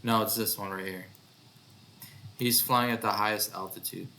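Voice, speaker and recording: A man narrates a casual walkthrough of his own project in a lowish but bright, sharp-edged voice. He takes his time, hesitating and thinking aloud as he goes. There is a little background noise on the recording. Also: steady tone, normal speed, vocal fry. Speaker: developer presenting own project.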